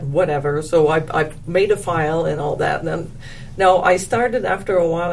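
A woman with a Quebec French accent, a French teacher speaking as a guest in a casual interview, animated and quick to repeat her words. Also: low voice, distant mic, slight background noise.

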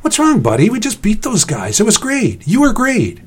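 Guy doing an eager teen boy from a story, loyal pal, pitched up high. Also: very animated, moderate pace, breathy edge, exaggerated voice. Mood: concerned, excited. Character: eager teenage boy fighter, loyal buddy. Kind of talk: novel reading.

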